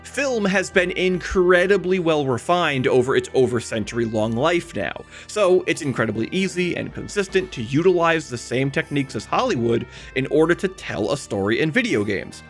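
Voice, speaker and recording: A man's voice: a little high, expressive, normal speed, few pauses. A man narrating a scripted video essay in an emphatic, conversational way, speaking straight to the viewer. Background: background music.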